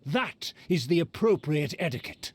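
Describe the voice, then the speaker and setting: An actor playing a haughty, disdainful aristocrat in a video game, with a pompous, formal, lecturing manner. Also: male voice, a little high, animated, measured pace, a little hiss or hum. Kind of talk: video game character acting.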